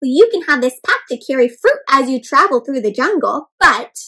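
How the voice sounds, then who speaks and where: A woman's voice: high voice, very animated, normal speed, bright, forceful tone, heavily acted. A woman voicing an earnest, trusting little girl lost in a children's storybook. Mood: earnest offering.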